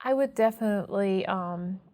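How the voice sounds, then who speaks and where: A woman's voice: medium pitch, moderate pace, mellow. A woman in her thirties giving brief, hesitant answers about her own experience. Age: 30s. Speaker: interviewee. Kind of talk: personal testimony.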